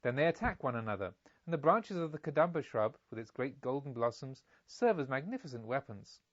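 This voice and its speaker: A man's voice: mid-range voice, sing-song, fairly quick, no echo, highs cut off. A man voicing a polite, carefree young merchant's son in an audiobook, with eager, formal speech. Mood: polite, enthusiastic. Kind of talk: audiobook character dialogue.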